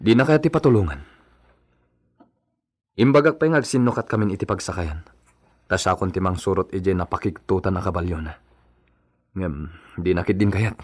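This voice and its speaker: A man's voice: low-pitched, normal speed, long pauses, dry recording, studio quality. A man with an Ilocano accent playing a blunt, commanding son in a family radio drama. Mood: grave reporting.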